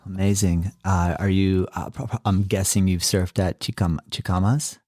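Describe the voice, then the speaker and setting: A man with a fairly low voice hosts a podcast, gushing with enthusiasm and peppering his talk with filler words. His voice sits dry and close, with no room around it. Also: little pitch movement, moderate pace, darkish tone, no echo. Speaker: podcast host. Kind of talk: podcast interview.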